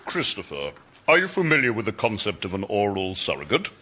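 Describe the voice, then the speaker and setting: An actor playing a solemn magical Christmas lion, a moral guide with a deliberate, theatrical delivery in a radio comedy sketch. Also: male voice, fairly low pitch, huge pitch swings, bright, forceful tone, breathy edge, heavily acted. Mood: menacing, patient. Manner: portentous, theatrical, mouthing words deliberately.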